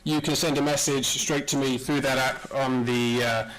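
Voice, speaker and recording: A man with a medium-pitched voice acts as master of ceremonies at a conference. His hosting is informal and a bit halting, with a jokey streak as he moves the programme along. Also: fairly even intonation, fairly bright tone, slight background noise, rough recording. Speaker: conference host introducing speakers.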